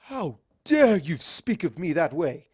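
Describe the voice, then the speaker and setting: A man's voice: fairly high pitch, big pitch swings, slow pace, slightly rough, voice pushed up, full character voice. A man voicing a proud, indignant female pony royal with a haughty, formal manner in a story reading. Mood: outraged.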